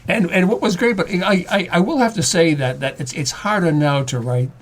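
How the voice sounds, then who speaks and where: A man in his seventies talking casually and reflectively as a guest writer, halting and repeating words as he goes. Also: high-pitched. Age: 70s.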